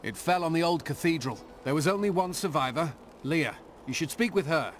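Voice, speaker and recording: An actor playing a battle-worn militia captain in a fantasy video game, earnest and commanding. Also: male voice, a little high, animated, brisk, faint music behind, lightly acted. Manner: urgent, commanding.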